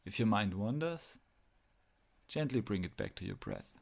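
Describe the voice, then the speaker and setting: A man narrating a guided meditation, speaking in measured phrases with pauses as he gives instructions. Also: fairly low pitch, wildly swooping pitch, vocal fry.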